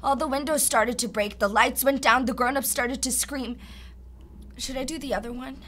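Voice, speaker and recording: A woman's voice: high voice, steady tone, normal speed, a bit bright. An actress playing a traumatized young woman with the mind and simple speech of a child, in a radio drama. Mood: childlike, haunted. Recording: audible background noise.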